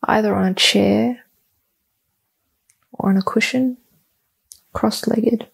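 A woman guides a meditation in a husky, slightly gravelly voice. She speaks very slowly and calmly, keeping an even, level tone and leaving long pauses between short, soothing instructions. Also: mid-range voice, poor audio.

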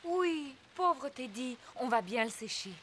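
Mum pig in a french cartoon, high lively voice, caring and expressive. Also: female voice, unhurried, a little hiss or hum. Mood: reassuring. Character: caring, watchful mother pig.

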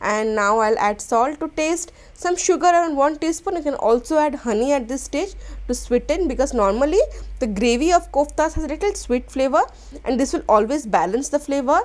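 A woman, hosting a cooking channel and explaining a recipe step by step in a gentle, instructional voice-over. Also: sits high, lively intonation, normal speed. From India.

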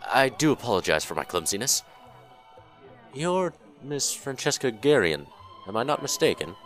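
A man's voice: mid-range voice, very animated, moderate pace, fairly bright tone, faint music behind. A man playing a glum, polite American journalist in an amateur 1950s-set radio play, formal and downbeat in manner. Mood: awkward, courteous.

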